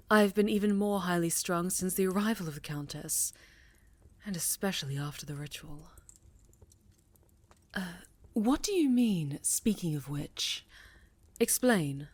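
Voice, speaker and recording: A woman playing a stern, highly strung witch leader in a fantasy ASMR roleplay, speaking formally and with command to a pupil. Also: slow, pauses often, a little mellow, dry recording. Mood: suspicious, probing.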